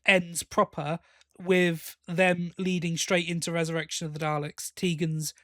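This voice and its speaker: A man's voice: fairly high pitch, little pitch movement, a little slow, a bit bright, no echo, studio quality. A man co-hosting a podcast, talking casually and enthusiastically as a fan. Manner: enthusiastic, appreciative. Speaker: podcast co-host.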